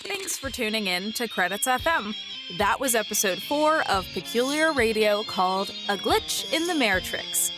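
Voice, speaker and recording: Woman reading credits over guitar music. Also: medium pitch. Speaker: podcast credits announcer. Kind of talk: scripted credits read.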